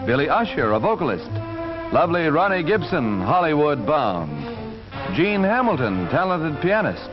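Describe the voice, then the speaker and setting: A man's voice: fairly high pitch, sing-song, moderate pace, music in the background. An American man reading scripted 1940s newsreel narration in a declamatory announcer style. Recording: dull 1940s newsreel soundtrack.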